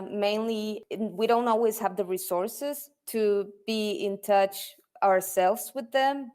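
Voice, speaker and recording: A woman's voice: mid-range voice, darkish tone, clear, resonant tone. A woman whose first language is Spanish, answering interview questions as a UX designer, often repeating words as she searches for the right phrasing. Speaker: UX designer guest. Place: lives in Germany.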